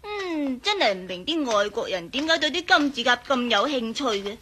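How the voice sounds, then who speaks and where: A woman's voice: high voice, very animated, normal speed, bright, forceful tone, a little hiss or hum, exaggerated voice. A woman playing a cheeky, streetwise young Egyptian boy guide in a radio drama. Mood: polite, eager. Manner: childlike, cheeky. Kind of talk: Cantonese radio drama acting.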